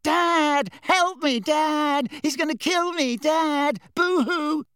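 A man voicing a mocking, high-pitched imitation of a whimpering, frightened boy in an audiobook story. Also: bright, forceful tone, no room sound, clean studio sound, heavily acted. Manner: wailing, drawn-out taunting pleas.

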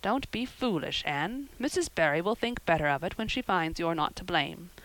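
A woman reading an audiobook, voicing a stern, practical older woman who scolds briskly and plainly. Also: fairly low pitch, animated, a bit bright, no room sound, low-quality recording. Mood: stern, dry. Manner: brisk, scolding and matter-of-fact. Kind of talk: audiobook character voice.

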